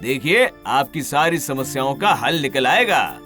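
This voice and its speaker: A man voicing a teasing, lively male friend in a cartoon story, mischievous but kind. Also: mid-range voice, a little slow. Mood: reassuring. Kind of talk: animated cartoon dubbing. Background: music.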